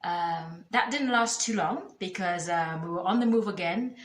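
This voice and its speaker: A young woman introducing herself in a thoughtful way, with many filler pauses. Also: on the low side, expressive. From born in the United Kingdom, raised in Zambia, Zimbabwe and Kenya. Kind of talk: self-introduction video. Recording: roomy echo.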